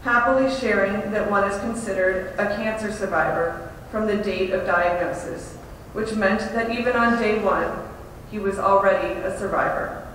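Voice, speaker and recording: A woman delivering a prepared memorial tribute in a measured, deliberate way with emphatic pauses. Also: fairly even intonation, fairly bright tone. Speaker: widow giving a memorial tribute. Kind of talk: prepared eulogy. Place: large echoing hall at a memorial service. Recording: very echoey hall, distant, faint rumble.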